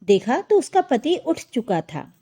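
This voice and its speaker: A woman's voice: sits high, big pitch swings, measured pace, dark tone, slightly rough. A woman narrating a scripted family drama story as a storyteller.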